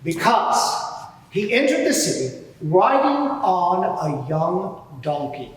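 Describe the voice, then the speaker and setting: A man playing an indignant, self-righteous high priest giving pompous, deliberate testimony in a dramatised courtroom inquiry. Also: sing-song, heavily acted. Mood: scornful. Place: large courtroom before a live audience. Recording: very echoey hall, distant mic.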